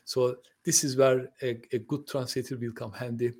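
A man's voice: mid-range voice, flat delivery, moderate pace, darkish tone. A man born in Turkey, a native Turkish speaker, talking as a podcast guest in a friendly, hesitant way, with many fillers and restarts. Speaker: podcast guest, translation company owner. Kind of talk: conversational interview. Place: lives in the UK.

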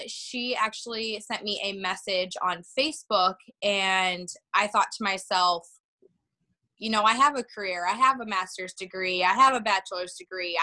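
A woman's voice: mid-range voice, pushed and bright, poor audio. A woman in her twenties from Illinois, a chatty, giggly guest rambling happily in a casual podcast interview. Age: 20s. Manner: chatty, giggly, talks in quick rambling bursts.

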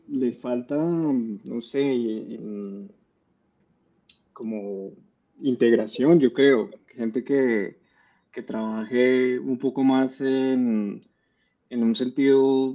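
A man with a swollen mouth after dental surgery, answering as a guest in a halting way with repeated words. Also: medium pitch, warm tone, highs cut off. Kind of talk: casual interview answers.

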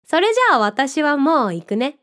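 A woman voicing a friendly, contented talking cat who runs a drink stall in an audio drama, speaking gently and politely with a playful touch. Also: sits high, lively intonation, normal speed. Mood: pleased, gentle. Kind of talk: Japanese audio drama acting.

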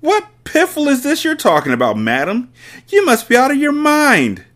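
A man reading aloud and voicing a huge, bullying headmistress who barks and shouts with contempt. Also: very high-pitched, huge pitch swings, normal speed, voice pushed up, heavily acted. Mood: bellowing scornful. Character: bullying hulking female headmistress, furious.

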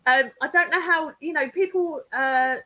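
A woman from Kent with a southern English accent, chatting as a guest clairvoyant on a video call, bubbly and quick to laugh. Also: high voice, bright, forceful tone. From England (Kent). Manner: giggly, bubbly, laughs often. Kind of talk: casual podcast chat.

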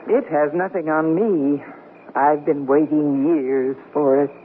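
A woman's voice: low voice, steady tone, slow pace. A woman playing a practical, teasing middle-aged newlywed wife in a radio comedy, with a dry delivery. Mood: hopeful, wry. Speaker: actress. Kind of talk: 1970s radio comedy acting. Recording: narrowband 1970s radio recording, faint hiss.

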